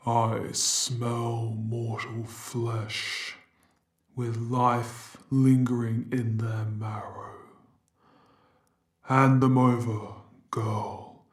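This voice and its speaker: A man voicing a brutish, menacing Minotaur in an audio roleplay drama, speaking in deliberate threats. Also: medium pitch, level tone, roomy sound. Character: Minotaur, brutish, menacing male monster guarding his domain.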